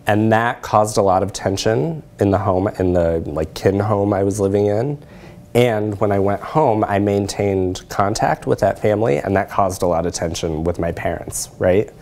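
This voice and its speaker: A man's voice: low voice, darkish tone. A middle-aged man lecturing in a conversational way as he presents research and takes questions. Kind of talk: conversational academic talk with questions. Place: lecture room, indoors.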